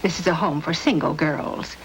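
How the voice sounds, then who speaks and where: A woman's voice: low-pitched, normal speed, slight background noise, lightly acted, rough recording. An actress playing a prim, fussy middle-aged woman who keeps a boarding house, in a 1950s radio drama.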